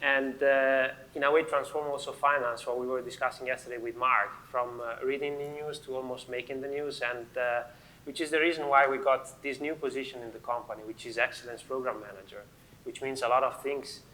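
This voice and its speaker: A man with an Italian accent talks on a conference panel. He often stops with fillers and starts sentences again. His voice sits at an ordinary middle pitch. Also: fairly even intonation, fairly quick, pushed and bright, rough recording. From Italy. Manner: hesitant, many fillers and restarts. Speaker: panel speaker.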